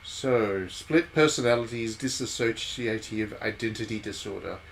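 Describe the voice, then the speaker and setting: A man's voice: on the low side, a little slow, rough recording. A man giving casual live commentary as he streams a game, rambling and thinking aloud. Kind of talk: casual live commentary on a visual novel playthrough. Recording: steady background noise.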